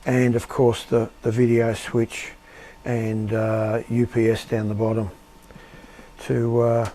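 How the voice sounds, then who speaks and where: A man giving an unscripted show-and-tell of his projects as an amateur radio operator, speaking in a halting, matter-of-fact way. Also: mid-range voice, completely flat delivery, warm tone. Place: indoor home radio shack.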